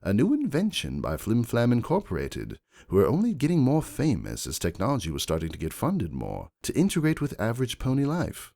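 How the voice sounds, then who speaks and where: A man voicing a shrewd, composed aristocratic unicorn stallion who narrates his own story in a measured, calculating way. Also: mid-range voice, wildly swooping pitch, warm tone. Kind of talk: first-person dramatic fan fiction narration.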